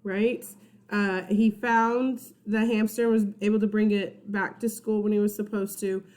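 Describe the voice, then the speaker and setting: A woman's voice: mid-range voice, level tone, moderate pace, darkish tone. A woman teacher reading a children's story aloud, informally, with chatty asides and check-in questions for young listeners.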